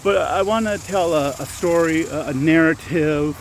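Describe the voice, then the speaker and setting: A man's voice: fairly high pitch, normal speed, slight background noise. A man hosting his own channel, talking casually while walking outdoors at dusk, rambling with frequent restarts and self-corrections. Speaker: channel host and storyteller. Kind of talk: casual walking vlog talk.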